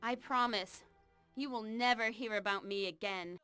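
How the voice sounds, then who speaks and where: A woman's voice: fairly high pitch, normal speed, pushed and bright, smooth, no echo, faint music behind. A young actress playing a frightened young woman pleading for her life in a video game, with a stammering delivery. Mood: tearful relief. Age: young adult. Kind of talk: dramatic video game voice acting.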